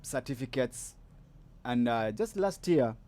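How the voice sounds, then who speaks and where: A man hosts a casual podcast chat with a guest. His voice is mellow and relaxed, and he rambles freely with fillers and restarts. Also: mid-range voice, slow pace. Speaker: podcast host.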